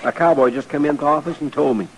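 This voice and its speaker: A man acts a folksy, respectful deputy sidekick in an old radio western. He speaks in a rural American western drawl at a raised pitch, earnest and plain. The recording has clear vintage noise. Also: fast talker, close to an everyday voice. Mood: urgent, earnest. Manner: earnest, plain-spoken, hesitant. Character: deferential, folksy frontier deputy sidekick, male.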